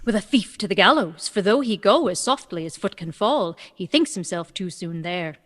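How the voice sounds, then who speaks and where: A woman's voice: mid-range voice, animated, moderate pace, big-room sound, close to an everyday voice. A woman playing a witty, lovestruck young woman disguised as a youth in a Shakespearean radio play, eager and playful. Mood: teasing, witty. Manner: eager, playful, theatrical verse-like delivery.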